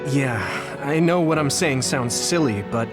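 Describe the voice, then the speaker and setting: A man's voice: mid-range voice, measured pace, loud music behind the voice, natural voice. An actor playing an earnest, thoughtful young male soldier hero in a video game, speaking sincerely and reflectively. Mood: earnest, grateful. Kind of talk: English video game voice acting, dramatic dialogue.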